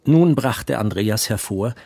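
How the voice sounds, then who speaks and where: A man's voice: on the low side, animated, slow, gravelly, darkish tone, dry recording. A man narrating a literary radio play as storyteller.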